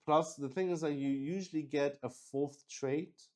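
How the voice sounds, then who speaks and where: A man's voice: a little high, steady tone, moderate pace, darkish tone. A man hosting a solo tabletop game stream, chatting casually and unscripted in a rambling way that often restarts sentences. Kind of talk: casual livestream chat, unscripted.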